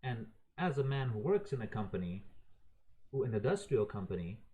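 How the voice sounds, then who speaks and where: A man's voice: lowish voice, sing-song, moderate pace, dark tone. A man raised in Croatia giving an unscripted, heated and profane opinion rant, talking to his viewers in an exasperated way. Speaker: video ranter.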